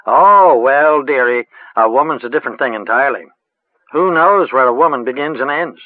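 Old country witch, voiced by a man: rustic, chanting, high and lilting; dry, tinny line. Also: lively intonation, normal speed, no echo. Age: older. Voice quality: rustic dialect, sing-song and chanting. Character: old female village witch, rustic and earthy.

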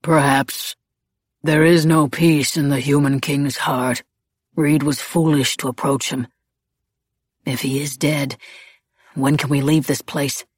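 A woman's voice: low voice, steady tone, slightly rough, no echo, heavily acted. A woman voicing a proud male werewolf pack leader in an audiobook, formal and threatening in manner. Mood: grim, scornful. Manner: formal, slow, warning speech.

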